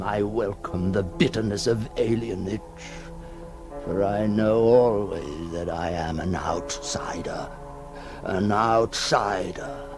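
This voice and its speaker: A man playing an anguished ghoul in a theatrical 1960s radio horror monologue, halting between whispers and cries. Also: deep voice. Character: anguished male ghoul recoiling from his own monstrous reflection. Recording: noisy old 1960s radio recording.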